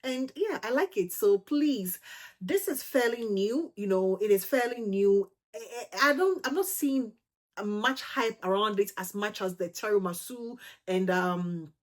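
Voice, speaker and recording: A woman's voice: medium pitch, animated, unhurried. Ghanaian lady doing a perfume vlog, medium voice, gushy, lots of um and you know. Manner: chatty and gushing, peppered with "you know" and "um". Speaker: fragrance channel host. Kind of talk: casual fragrance review to camera.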